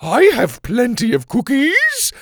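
A man puts on a doting storybook girl with a very high, singsong voice. The delivery is drawn out and theatrical, full of gushing melodrama. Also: huge pitch swings, slow, no room sound, studio quality, heavily acted. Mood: eager, gushing.

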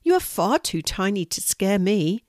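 A woman voicing a kind male stable animal in a children's story, speaking in a gentle, calm and reassuring manner. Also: mid-range voice, unhurried, dry recording, studio quality.

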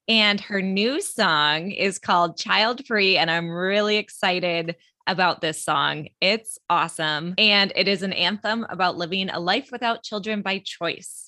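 A woman's voice: mid-range voice, unhurried, few pauses, sharp tone, no echo, studio quality. A woman hosting an interview, upbeat and encouraging toward her guest. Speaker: podcast host.

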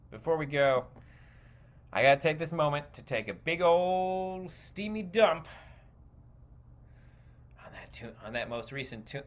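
Animated, bright-voiced man ranting. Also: fairly high pitch. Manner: rambling, digressive, emphatic. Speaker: podcast host. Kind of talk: casual opinionated rant, stream of consciousness.

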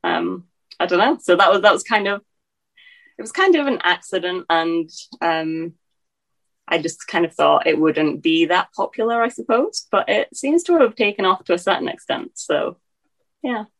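A woman's voice: on the low side, normal speed. A woman in her twenties with an English accent tinged with Scottish, answering interview questions hesitantly, with many fillers and restarts. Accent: English with a slight Scottish tinge. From England. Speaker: guest.

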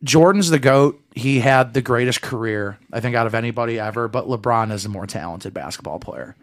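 A man's voice: medium pitch, fairly quick. A man co-hosting a podcast, chatting casually in an emphatic, opinionated way and repeating himself for stress. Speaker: podcast co-host. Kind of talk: casual chat.

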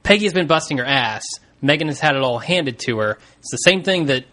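Male co-host, relaxed and joking, bright tone, casual episode recap. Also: a little high, a little fast. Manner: laid-back, jokey, laughs easily. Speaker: podcast co-host.

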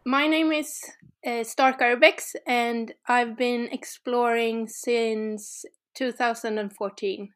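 A Swedish woman talks through her answers slowly and hesitantly, pausing to find her words. Her voice sits fairly high and her manner is thoughtful. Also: steady tone, a bit bright. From Sweden. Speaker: guest, urban explorer. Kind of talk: interview conversation.

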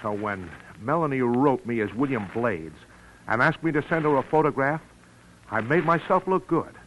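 An actor playing a wronged, quietly vengeful man in a 1940s radio drama, speaking in a deliberate, halting way. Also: mid-range voice, expressive, natural voice. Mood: bitter, triumphant. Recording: noisy vintage radio drama recording.

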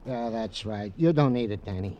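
An actor playing a fussing, loyal American police sergeant sidekick, folksy and stammering, as comic relief in an old radio drama. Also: male voice, on the low side, normal speed, warm tone, highs cut off, slight background noise, natural voice. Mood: indignant. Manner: stammering, folksy, mangles phrases.

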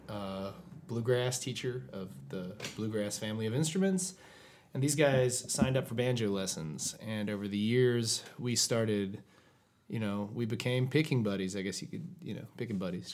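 A man from North Carolina, a fiddler and lead singer, telling in a relaxed, rambling way how his band formed. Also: on the low side. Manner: relaxed, storytelling, a bit rambling. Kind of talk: casual interview answers.

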